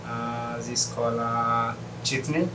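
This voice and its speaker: A chef and restaurant owner introducing dishes at a shared meal, speaking haltingly with frequent pauses and "uh"s. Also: male voice, medium pitch, steady tone, far from the mic, background noise. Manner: warm, halting, with many uhs.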